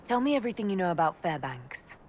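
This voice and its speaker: A woman voicing a calm, self-assured elf mage leader in a video game, speaking in a measured way. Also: fairly low pitch, slight background noise. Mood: businesslike. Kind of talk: video game dialogue acting.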